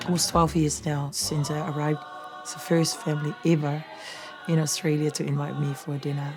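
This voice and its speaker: A woman's voice: sits low, little pitch movement, moderate pace, crowd noise. A woman from Samoa with a Samoan accent, sharing her personal story in a documentary interview. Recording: loud music bed behind voice.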